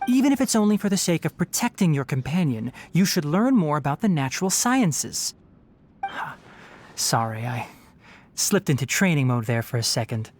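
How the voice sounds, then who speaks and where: A young fox-eared forest ranger from a game, pitched low and swinging expressively through each phrase. The delivery is scholarly and articulate, like a teacher with a dry, teasing streak, over slight background noise. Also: female voice, darkish tone. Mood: earnest advice, then apologetic. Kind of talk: scripted game character voice lines.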